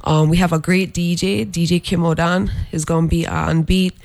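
Man, gravelly and flat, halting guest spot with lots of ums, dry sound. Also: a little high, dry recording. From Saint Croix, US Virgin Islands. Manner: halting, many filler pauses. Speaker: guest, fashion brand designer. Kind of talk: interview promoting an event.

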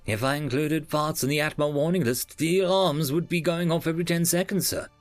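A man's voice: on the high side, steady tone, a little fast, no echo, faint music behind, voice pushed up, close to an everyday voice. A man voicing a nervous young male technician who explains things earnestly.

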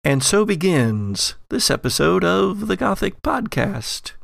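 A man's voice: high voice, big pitch swings, takes it slowly, creaky, a bit bright, slight background noise. A man narrating as game master and podcast host, shifting between theatrical storytelling and casual asides. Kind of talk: recapping and running a tabletop game.

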